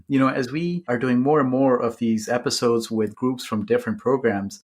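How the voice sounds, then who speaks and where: Male co-host, mid-pitched, effusive and excited. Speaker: podcast co-host. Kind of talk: scripted pitch then casual chat.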